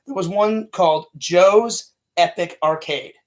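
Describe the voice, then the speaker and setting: A man in his late thirties, co-hosting a casual chat with a loud, energetic, rambling way of talking. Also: sits high, unhurried. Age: 30s. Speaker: podcast co-host.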